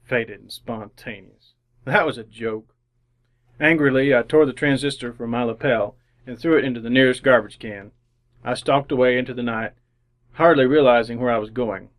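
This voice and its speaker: A man's voice: medium pitch, normal speed, close to an everyday voice. An actor playing an earnest, jaded young ad man who narrates his misadventures in old-time radio drama style. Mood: wry, brooding.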